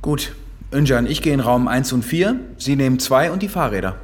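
An actor playing a sardonic, unflappable police detective in a radio crime drama, speaking in a dry, ironic manner. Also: male voice, medium pitch, lively intonation, normal speed, lightly acted. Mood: dry, matter-of-fact. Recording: music behind the voice.

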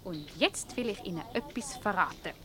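An actress playing a playful, cunning, flirtatious wife in a radio comedy, teasing and self-assured, with a Swiss German accent. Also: female voice, medium pitch, expressive, moderate pace, a crowd in the background, lightly acted. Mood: sly, flirtatious. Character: playful, cunning, flirtatious married woman fooling a detective.

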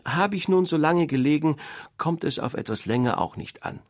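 Guy doing a squawky bossy mama duck, high and husky, scolding her ducklings, storybook vibe. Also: lively intonation, normal speed, no echo, clean studio sound. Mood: fretting. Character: fussy proud mother duck, hen. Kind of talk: storybook reading.

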